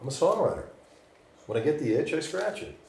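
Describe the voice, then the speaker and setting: A man acts out a struggling musician under suspicion in a horror screenplay reading. He is defensive and halting, his fairly high voice swinging widely in pitch, heard in an echoey room. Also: sing-song, creaky, darkish tone, slight background noise. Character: struggling male singer-songwriter, defensive and rattled suspect. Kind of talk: live screenplay table read. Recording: echoey room, live table read.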